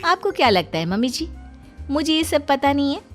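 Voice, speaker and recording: A woman plays a cheeky young bride in a cartoon family story. Her highish voice swings in pitch, mixing mock sweetness with sharp-tongued sass. Music plays softly underneath. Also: very animated, brisk. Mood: defiant. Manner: sugary politeness with sassy bite. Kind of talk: Hindi cartoon story voice acting.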